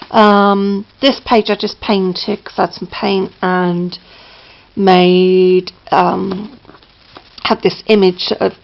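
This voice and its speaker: A woman with a slightly croaky voice, chatting casually at home as she shows her craft book, with lots of ums and self-corrections. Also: mid-range voice, lo-fi sound. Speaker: crafting vlogger. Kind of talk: casual show-and-tell. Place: indoors at home, downstairs near a patio.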